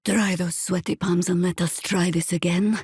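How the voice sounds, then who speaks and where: A middle-aged woman playing a seasoned, wry druid warrior in a fantasy video game, speaking in a measured, dry, formal way. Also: lowish voice, steady tone, a little mellow, husky, no echo. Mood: dry, cautioning. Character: seasoned, wry female druid warrior, weary but resolute.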